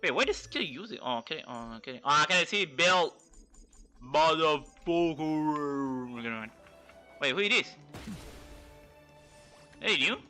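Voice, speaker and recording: Non-native english guy streaming a game, super bright voice, slangy and halting, faint music behind. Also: fairly high pitch, expressive. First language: not English. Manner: rambling, informal, slangy, halting. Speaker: livestreamer, VTuber. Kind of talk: casual live gaming commentary.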